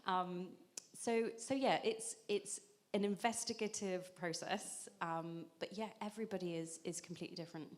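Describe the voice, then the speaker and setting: A woman's voice: lowish voice, steady tone, normal speed. A woman in her thirties, an acupuncturist on a panel, explaining at length in a conversational way with frequent ums and restarts.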